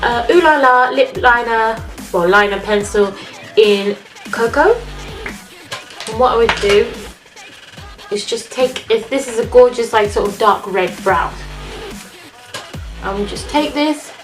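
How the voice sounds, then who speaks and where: A woman with a slightly high voice chats her way through a makeup routine, sounding nasal and stuffed up from a cold. Her talk is loose and friendly as she works. The room is echoey and music plays clearly behind her. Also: expressive, measured pace, pauses often, fairly bright tone. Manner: chatty, talks while applying makeup. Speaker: beauty vlogger. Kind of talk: casual makeup tutorial.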